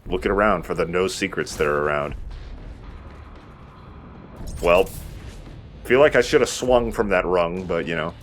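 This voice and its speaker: Low-voiced man doing casual game commentary. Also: unhurried, long pauses, soft background music. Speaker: let's play host playing the game. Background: video game sound.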